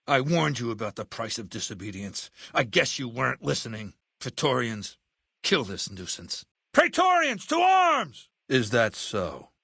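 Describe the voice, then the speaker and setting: A man playing a ruthless, commanding warlord dictator in a video game, menacing and condescending, speaking deliberately. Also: medium pitch, sharp tone, no room sound, studio quality, exaggerated voice. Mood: cold anger, then menacing disdain. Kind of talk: video game character voice acting.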